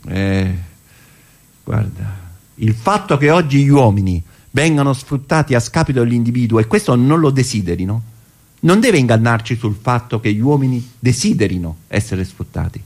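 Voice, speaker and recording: A man's voice: mid-range voice, lively intonation, moderate pace, a little mellow. A man playing a reflective, self-doubting older political militant in theatrical dialogue for radio, speaking hesitantly. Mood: solemn, reflective. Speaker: actor.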